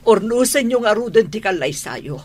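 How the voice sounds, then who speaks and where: A woman with an Ilocano accent playing a motherly older woman in a radio drama, speaking slowly. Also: medium pitch, very animated, darkish tone. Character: mother of the groom, older woman, warm and approving. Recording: clear music bed behind the voice.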